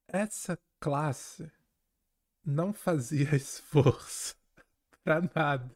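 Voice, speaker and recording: A man's voice: medium pitch, vocal fry, a little mellow. A man telling personal stories in casual chat, acting out quotes and often checking that the listener follows.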